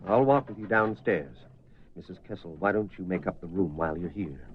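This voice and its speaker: A man's voice: low voice, lively intonation, a little fast, a little hiss or hum. An actor playing a stern, domineering middle-aged father with a clipped, commanding manner in a radio drama. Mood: angry, commanding. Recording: dull lo-fi radio recording.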